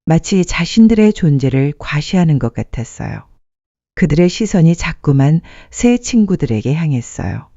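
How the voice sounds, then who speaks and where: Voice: medium pitch, very animated, moderate pace, mellow, no room sound. A narrator reading a scripted dramatic story as a storyteller. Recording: muffled dry studio sound.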